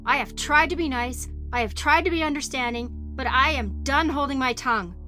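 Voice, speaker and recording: Actress as a high-voiced, scornful angry witch. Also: a little fast, sharp tone, soft background music. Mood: exasperated. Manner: sarcastic, scornful, sweary. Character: angry, mocking young female celestial witch of a coven. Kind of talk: audio drama acting.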